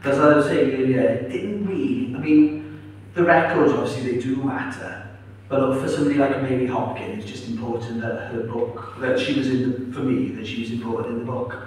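A man's voice: mid-range voice, fairly even intonation, brisk. Welsh man, chatty storyteller, distant mic, echoey town hall. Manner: chatty, warm, storytelling with asides. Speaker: interviewed author and radio presenter. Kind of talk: live festival interview. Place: town hall at a live event. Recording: echoey hall from far off, faint noise.

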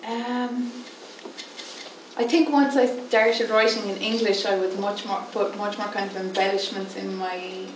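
A woman's voice: medium pitch, steady tone, measured pace, slight background noise. Irish woman poet on stage, candid, lots of ums, roomy hall, mic far off. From Ireland. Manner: candid, thinking aloud with fillers. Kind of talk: panel Q&A answers. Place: stage in a dim theatre hall. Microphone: far camera.

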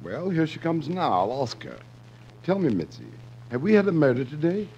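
An actor playing an unruffled, deadpan prince who owns a restaurant in a 1950s radio comedy. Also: male voice, fairly high pitch, moderate pace. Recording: dull, very noisy old radio recording.

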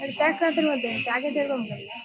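Young female interviewee, Bangladeshi Bengali accent, rapid-fire clipped replies, reluctant, crowd noise behind. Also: high voice. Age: young adult. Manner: terse, reluctant answers. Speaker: interview guest. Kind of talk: casual interview answers.

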